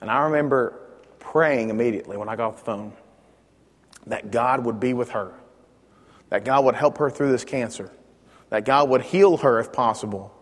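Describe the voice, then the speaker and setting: A man in his thirties preaches a Bible lesson to a class in a loud, emphatic voice, often doubling words as he restarts. His voice sits at an average pitch for a man. He speaks into a microphone, and the room adds a long, ringing reverb. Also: normal speed, pauses often. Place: church classroom.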